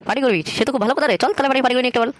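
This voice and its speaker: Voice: high-pitched, fairly even intonation, rapid-fire, dry recording, natural voice. A performer with a Bengali accent voicing foul-mouthed, teasing young friends in slangy comic cartoon banter. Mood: rowdy.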